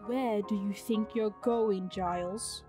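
A woman playing a commanding, scheming goddess mother with a measured, imperious delivery in a fantasy game roleplay. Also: mid-range voice, fairly even intonation, over music, close to an everyday voice. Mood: stern, commanding.

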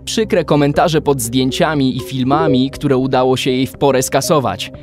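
A man's voice: medium pitch, fairly even intonation, moderate pace, darkish tone, faint music behind. A man narrating a children's radio play in scripted storytelling style.

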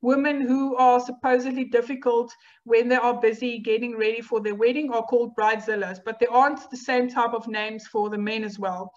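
A woman whose first language is Afrikaans, giving a university lecture in a measured, explanatory way with rhetorical questions. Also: a little high, fairly even intonation. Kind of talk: academic lecture over slides. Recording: dull lo-fi online lecture audio.

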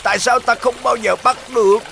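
A man voicing a bumbling, scheming, luckless wolf in a children's cartoon, with a gravelly, whiny, exaggerated delivery. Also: very high-pitched, fairly even intonation, a little fast, pushed and bright, slight background noise, full character voice. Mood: panicked, whining.

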